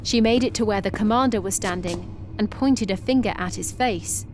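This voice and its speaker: A woman's voice: medium pitch, moderate pace, faint music behind. Woman narrating a sci-fi story. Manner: steady, descriptive reading.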